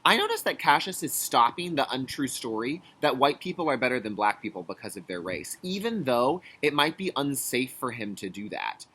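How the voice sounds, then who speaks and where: A male kindergarten teacher with a bright, forceful voice that has a slightly husky edge. He moves between expressive storybook reading and clear explanatory asides for young children, with very animated intonation. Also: medium pitch, moderate pace, few pauses. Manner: clear instructional phrasing with check-in questions. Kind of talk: children's read-aloud lesson with explanatory asides.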